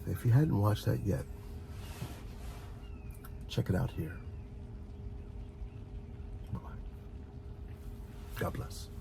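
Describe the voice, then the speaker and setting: Deep gravelly man, earnest ASMR ramble. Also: noisy background. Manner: earnest, encouraging, repeats phrases for emphasis. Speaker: ASMR video host. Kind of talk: rambling ASMR motivational talk to camera.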